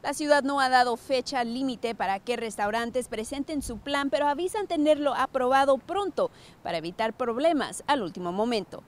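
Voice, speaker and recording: A woman's voice: high-pitched, animated, normal speed. A woman in her thirties narrating a scripted television news report as a reporter.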